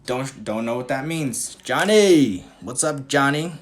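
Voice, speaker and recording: A man answering viewer questions on a casual livestream, talking in a loose, swaggering way. His voice sits in an ordinary middle pitch. He coughs now and then, swears freely and speaks like a dating coach to his followers. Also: lively intonation, measured pace. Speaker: dating coach hosting a live Q&A. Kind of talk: casual livestream chat reading viewer comments.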